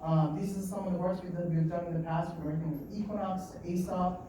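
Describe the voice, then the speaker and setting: A man in his late twenties giving a live talk at a design meetup. He talks fast and a bit nervously, with lots of fillers and restarts. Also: high-pitched, steady tone. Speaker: guest speaker at a design meetup. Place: meeting room in an office. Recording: echoey room, distant mic, background noise.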